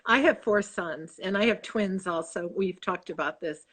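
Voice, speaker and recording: An effusive woman in her sixties with a Chicago accent chats on a video call. She rambles and cuts herself off as she talks about her book, with a mid-range pitch. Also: normal speed, fairly bright tone, husky. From Chicago, USA. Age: 60s. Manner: effusive, rambling, self-interrupting. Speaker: author guest. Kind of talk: casual interview chat.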